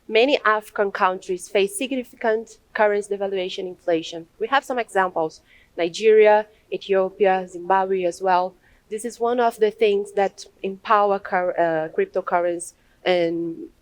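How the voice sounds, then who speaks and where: A woman's voice: on the low side, no room sound. A young woman from Brazil with a Brazilian accent, giving a hesitant conference presentation at a podium, with many restarts and fillers. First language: Portuguese. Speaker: conference speaker. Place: large conference hall, at a podium.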